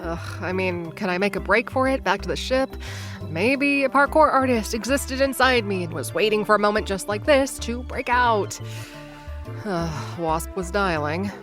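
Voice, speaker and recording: A woman narrates a comedic audio drama in the first person. She rambles and stumbles through fillers and drops wry asides to the listeners, with music playing clearly beneath her. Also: medium pitch, lively intonation, moderate pace, a bit bright. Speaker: narrator and lead character.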